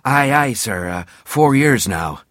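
A respectful seasoned sailor, voiced by a male reader, hesitant and deferential. His pitch sits a bit raised, in a dry recording. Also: expressive, slow, a bit bright, no room sound. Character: deferential sailor, seasoned topman, answering officer. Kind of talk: historical novel character voice.

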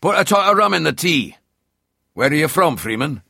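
A brisk, authoritative naval officer, played by a male narrator, speaking in clipped phrases. The pitch is somewhat raised and the recording dry. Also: sing-song, gravelly, pushed and bright, slightly rough, dry recording, full character voice. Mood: commanding. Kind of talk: historical novel character voice.

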